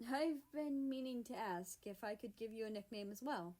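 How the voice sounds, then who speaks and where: A woman's voice: a little high, lively intonation, normal speed, darkish tone, close to an everyday voice. Woman reading as a playful, teasing young man.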